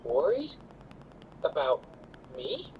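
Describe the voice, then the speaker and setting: An actor voicing a gentle, earnest, hesitant young boy in a video game. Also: mid-range voice, huge pitch swings, slow pace, narrow, tinny audio, a little hiss or hum. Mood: apologetic, moved. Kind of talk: scripted video game voice acting.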